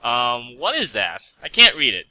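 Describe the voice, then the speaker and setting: Man voicing a prim, bright-voiced haughty lady pony seamstress. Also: mid-range voice, wildly swooping pitch, a little slow, exaggerated voice, poor audio. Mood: prim, brisk.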